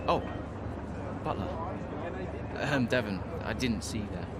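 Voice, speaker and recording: A man's voice: fairly low pitch, expressive, measured pace, heavy background noise. A young man playing an earnest, defensive rookie racing driver in a game cutscene. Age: young adult.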